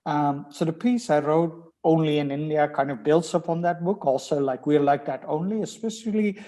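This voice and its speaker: A man from the Netherlands with a Dutch accent, speaking as a research guest in a thoughtful academic conversation, pausing often with ums and restarts. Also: on the high side, warm tone.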